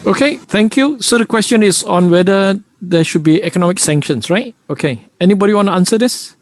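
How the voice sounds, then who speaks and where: A Malaysian-accented man with a slightly high voice, moderating a press conference in an informal way. The sound is dry, with very little room on it. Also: expressive, a little fast, dry recording. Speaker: moderator and member of parliament.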